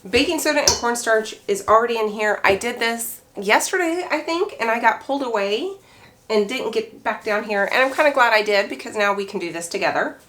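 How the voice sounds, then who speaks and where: A hoarse woman, middle-aged, chatting casually as she walks through a craft recipe at home, thinking aloud as she goes. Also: a little high, fairly quick, slight background noise. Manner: chatty, relaxed, thinks aloud while working. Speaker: craft maker. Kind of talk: casual how-to chat.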